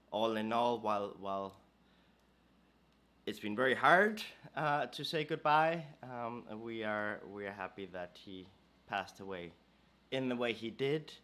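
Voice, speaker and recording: A man hosting a podcast, hoarse from a cold, talking casually and hesitantly with many fillers and restarts. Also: fairly low pitch, fairly bright tone. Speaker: podcast host.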